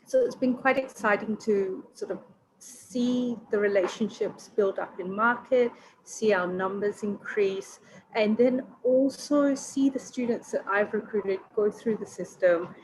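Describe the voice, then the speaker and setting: A woman, a university regional recruitment manager, speaking in a measured, professional way on a video call webinar panel. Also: mid-range voice, a little mellow, slight background noise, low-quality recording.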